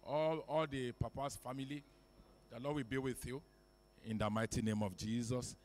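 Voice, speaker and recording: A man's voice: mid-range voice, fairly even intonation, moderate pace, a little mellow. Young man hosting a church memorial. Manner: earnest, repeating blessings and thanks. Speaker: church service host. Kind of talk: announcements and thanks at a memorial service. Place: large wood-panelled church hall. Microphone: handheld microphone.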